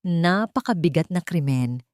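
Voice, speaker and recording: A woman's voice: fairly low pitch, expressive, a little slow, a little mellow, no echo, clean studio sound. A woman voicing an astute, serious female lawyer in a narrated drama story. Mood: serious, probing.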